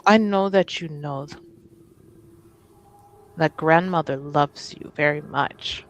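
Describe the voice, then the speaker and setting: A woman playing a grieving grandchild who pleads earnestly and haltingly in a tabletop roleplaying game. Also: low-pitched. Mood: earnest pleading. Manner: slow, halting, earnest delivery. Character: Ade, sincere, grieving grandchild pleading with an estranged relative.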